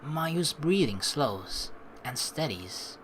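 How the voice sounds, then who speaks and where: Bright, animated young male let's player. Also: on the high side, very slow, a little hiss or hum. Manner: expressive, informal, playful asides. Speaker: let's play host. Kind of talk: game playthrough, reading narration aloud with casual commentary. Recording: voice over game music.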